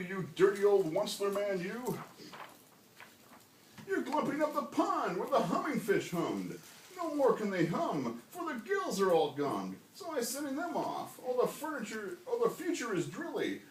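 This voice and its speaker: A man voicing an indignant old male woodland creature in a storybook, scolding and complaining with a croaky edge. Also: very high voice. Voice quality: coughing, croaky. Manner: emphatic, preachy complaining. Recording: roomy, distant mic, faint background noise.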